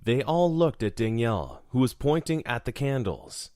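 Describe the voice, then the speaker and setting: A man's voice: medium pitch, big pitch swings, normal speed, mellow, dry recording, studio quality. A man narrating a scripted horror story as the narrator of an audio drama.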